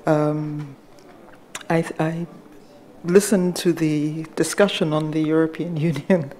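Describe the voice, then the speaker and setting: Middle-aged woman, soft voice, low and mellow, measured panel remarks. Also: fairly even intonation. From Ghana. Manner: measured, hesitant with frequent fillers. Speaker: conference panelist. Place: large conference hall. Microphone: table microphone.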